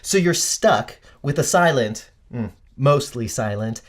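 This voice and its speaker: A man's voice: mid-range voice, expressive, measured pace, natural voice. A man playing an eager, helpful young amateur detective in an audio drama, casual and given to musing aloud. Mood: musing, curious.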